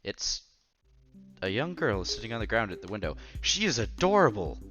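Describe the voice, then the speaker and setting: A man reading story narration aloud with expressive, theatrical reactions as he hosts a visual novel playthrough. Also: fairly low pitch, moderate pace.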